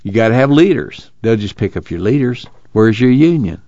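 A man's voice: medium pitch, big pitch swings, moderate pace, dark tone, no room sound, highs cut off, voice pushed up, natural voice. A man voicing a worn, worried migrant father who talks in a folksy, plainspoken way with a rural American accent. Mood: troubled.

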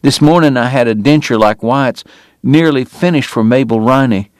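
A man's voice: mid-range voice, moderate pace, dry recording, studio quality, pitched above the performer's own voice, close to an everyday voice. A man doing a bitter, ailing frontier dentist. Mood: despairing. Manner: pained, proud, formal diction breaking into anger.

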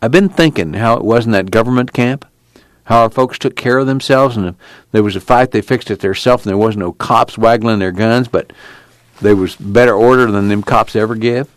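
A man doing a low, weary young fugitive. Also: dry recording, natural voice. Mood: resolute. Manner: low, unhurried, folksy drawl of plain rural speech. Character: young man in hiding, weary and quietly resolute. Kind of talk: audiobook character reading.

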